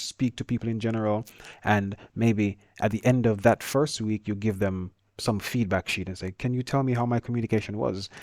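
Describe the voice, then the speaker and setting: A man with a Jamaican accent teaching a screen-share lesson, talking quickly with stutters and restarts. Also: on the low side, darkish tone, dry recording, clean studio sound.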